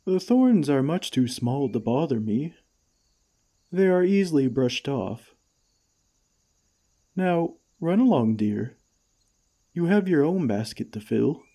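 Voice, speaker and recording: A man playing a gentle, caring friendly giant in an ASMR comfort roleplay, with tender, old-fashioned, unhurried phrasing. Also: on the high side, expressive, exaggerated voice. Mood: kindly, patient.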